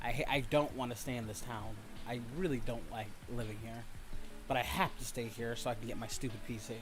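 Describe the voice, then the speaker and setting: A man's voice: mid-range voice, fairly even intonation, brisk. Casual male streamer with faint music behind. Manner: informal, frequently swearing. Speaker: livestream host. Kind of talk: casual livestream chat.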